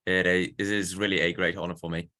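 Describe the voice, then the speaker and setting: A man in his late teens with a Polish accent, answering interview questions earnestly and haltingly, often repeating words as he searches for phrasing. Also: low voice, little pitch movement, bright, forceful tone. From Poland. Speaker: student interview guest.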